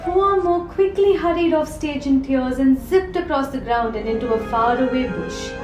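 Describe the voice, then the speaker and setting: Narrator: female, high-pitched, scripted children's storytelling, roomy recording over prominent music. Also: moderate pace. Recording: echoey room with prominent music.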